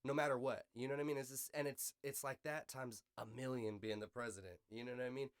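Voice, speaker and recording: An American man hosts a casual podcast in a mid-pitched voice with a dry, close sound. He talks loosely and conversationally, with plenty of slang and swearing, and offers opinions freely. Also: fairly even intonation, fast, clean tone, no room sound. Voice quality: slangy, profane speech. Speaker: podcast host. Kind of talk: casual unscripted podcast chat.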